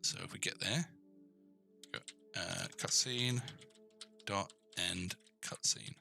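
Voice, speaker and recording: A man with a fairly low, strikingly bright and forceful voice talks through a programming tutorial. He thinks aloud in an informal way, addressing the viewer directly and often checking that things make sense. Music plays behind him. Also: big pitch swings, slow, creaky. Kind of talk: casual screencast coding tutorial, thinking aloud.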